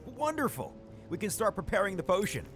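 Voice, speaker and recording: An eager, slightly nervous potion brewer from an adventure game. His highish voice jumps around in pitch, with light music behind him. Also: sing-song, brisk. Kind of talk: video game character acting.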